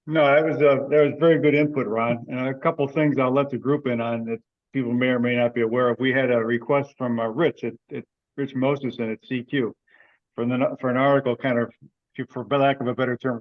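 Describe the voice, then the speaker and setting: A man's voice: mid-range voice, fairly even intonation, fairly quick, no room sound. A man speaking informally and matter-of-factly as he organizes things in a meeting. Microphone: group teleconference call. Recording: dry, dull lo-fi conference call audio.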